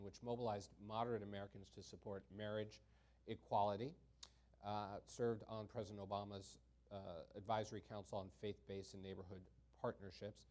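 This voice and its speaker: A man's voice: lowish voice, darkish tone. A man moderating a panel discussion, introducing the guests with frequent ums and uhs. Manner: halting, many ums and uhs.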